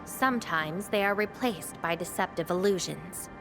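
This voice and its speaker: An actress voicing a calm, self-assured young woman who wields fire, speaking game dialogue with a teasing edge over game music. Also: on the low side, moderate pace, gravelly.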